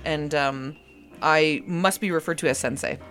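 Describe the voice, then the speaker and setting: A woman's voice: deep voice, moderate pace, a bit bright. A woman hosts a live community radio show from a small studio, talking into a studio mic. Her delivery is chatty and playful, wandering from one tangent to the next. Faint music sits underneath her voice. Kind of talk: casual live radio chat.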